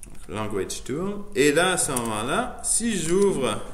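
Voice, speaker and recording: A man, a non-native French speaker, giving a loose, chatty software demo full of fillers and restarts. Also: a little high, very animated, takes it slowly. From immigrant to Canada. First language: not French. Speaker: presenter showing his editor setup. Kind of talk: casual live software demo. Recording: echoey room, faint noise, keyboard typing.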